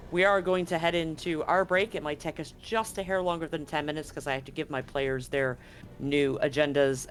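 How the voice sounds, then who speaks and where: A man's voice: on the high side, fairly bright tone, slight background noise. A man speaking informally and conversationally as game master, narrating a live-streamed tabletop game.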